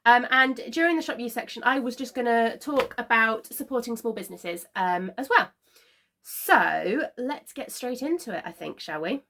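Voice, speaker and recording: A woman chats to camera from her living-room sofa, sounding slightly congested from a cold. Her voice sits a bit high, and she talks in a relaxed, rambling way, leaning on frequent ums and small laughs. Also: normal speed. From Scotland. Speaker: knitting podcast host. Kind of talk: casual chat to camera.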